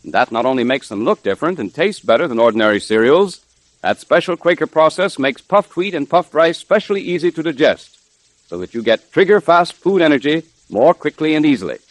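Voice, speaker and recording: A man's voice: on the high side, animated, brisk, dry recording. A man announcing and narrating a 1930s radio serial in an emphatic, salesmanlike scripted style.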